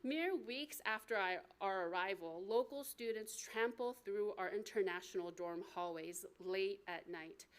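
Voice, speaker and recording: An American woman reading aloud from her memoir as its author, in an expressive, deliberate storytelling manner at a live event. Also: mid-range voice. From United States. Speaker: author reading from own book.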